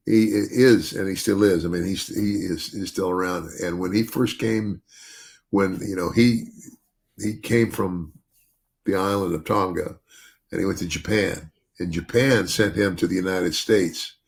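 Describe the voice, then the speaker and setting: Deep gravelly older man. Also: steady tone, a little slow. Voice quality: deep bass voice. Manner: rambling with many restarts and "you know". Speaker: podcast host, retired wrestler. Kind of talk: casual podcast chat.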